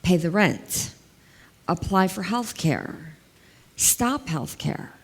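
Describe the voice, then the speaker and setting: A woman's voice: fairly low pitch, animated, slow, vocal fry, breathy edge. A woman in her fifties with a big voice, an elder law attorney giving a plain-spoken community talk and explaining step by step with examples. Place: large hall, indoors. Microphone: headset mic. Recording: long hall reverb.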